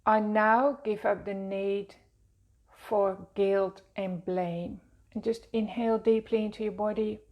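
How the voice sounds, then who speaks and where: A woman with a Dutch accent talks softly through a guided meditation. She leads at an unhurried, soothing pace, with a gravelly fry running through her mid-pitched voice. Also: steady tone, dull audio. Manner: slow, gentle, soothing guidance. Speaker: wellness teacher. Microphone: seated at a desk, speaking to camera.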